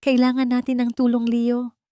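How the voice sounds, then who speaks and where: A woman's voice: a little high, completely flat delivery, moderate pace, dark tone, no echo, pitched above the performer's own voice, natural voice. Woman as determined daughter, protective, emphatic, earnest, story drama, dry recording. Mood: urgent. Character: determined, protective young daughter fighting for justice.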